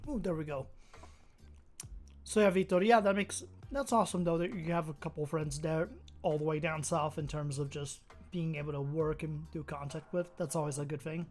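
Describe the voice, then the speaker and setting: A Brazilian-born woman whose first language is Portuguese, speaking with a mostly American accent that carries a slight Brazilian touch. She streams a game and chats with viewers in a relaxed, rambling way, thinking aloud. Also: deep voice, moderate pace, soft background music. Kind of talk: casual chat with viewers while playing a game.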